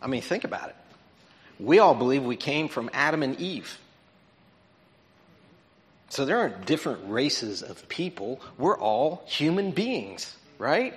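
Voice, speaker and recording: Male preacher, bit high-pitched, talky teaching style, big hall echo. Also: a bit bright. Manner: conversational, explanatory, checks in with listeners. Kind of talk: sermon lesson. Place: large auditorium. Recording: long reverb in an auditorium.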